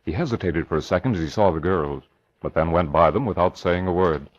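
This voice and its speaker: A man's voice: deep voice, fairly quick, a little hiss or hum. A man narrating a scripted 1940s radio drama. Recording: dull old radio recording. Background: music.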